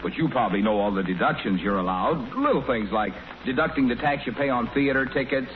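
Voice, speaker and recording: An actor playing a brisk, know-it-all neighbor in a 1940s radio sitcom. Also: male voice, mid-range voice, close to an everyday voice. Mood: helpful, insistent. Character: brisk, know-it-all male neighbor giving tax advice. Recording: muffled, faintly noisy 1940s radio broadcast.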